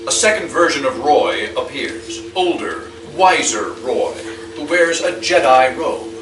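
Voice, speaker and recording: High, animated male script narrator. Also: a little slow. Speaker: narrator reading stage directions. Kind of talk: scripted narration at a live table read. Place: live staged read at a party. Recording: distant mic in noisy, echoey room.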